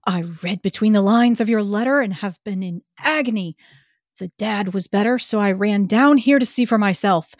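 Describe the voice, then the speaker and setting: A woman voicing an anxious, devoted young gentleman in a hushed, breathy, melodramatic way. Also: mid-range voice, animated, no room sound, clean studio sound. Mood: anxious, eager. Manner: slow, earnest, melodramatic.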